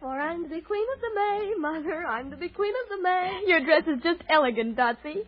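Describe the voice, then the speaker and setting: An extremely high, gushing schoolgirl, excitable in a radio skit. The vintage recording is muffled. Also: a little fast. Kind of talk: 1930s radio acting.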